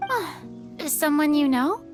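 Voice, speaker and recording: High-voiced, earnest young woman. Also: expressive, takes it slowly. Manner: earnest, conversational. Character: earnest, sensitive young woman, idealistic team player. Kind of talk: video game voice acting. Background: faint background music.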